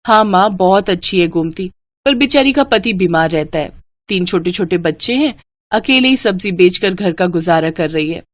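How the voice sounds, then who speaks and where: A woman voicing a young pregnant wife in an animated story, speaking politely and gently. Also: fairly low pitch, normal speed, dry recording, natural voice. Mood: sympathetic.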